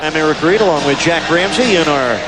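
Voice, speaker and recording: A man's voice: fairly high pitch, brisk, loud music behind the voice. An American man calling live basketball play-by-play with punchy, clipped calls and dramatic flourishes. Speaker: play-by-play sports announcer. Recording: loud arena crowd, noisy 1990s TV broadcast. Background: basketball arena crowd and bouncing ball.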